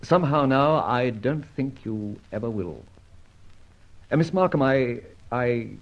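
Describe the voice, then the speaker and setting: An actor playing a dashing, sardonic masked vigilante in an old-time radio drama, speaking in a theatrical, clipped and commanding way. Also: male voice, medium pitch, animated, measured pace. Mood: tender, theatrical. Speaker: lead actor in a radio detective serial. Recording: dull vintage radio recording.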